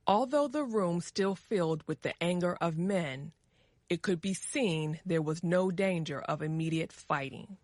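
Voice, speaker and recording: An American woman narrates a scripted story for language learners. Her voice is fairly low and very slow, every word carefully set out, in a dry recording. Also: a little mellow, no echo. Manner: measured, carefully enunciated.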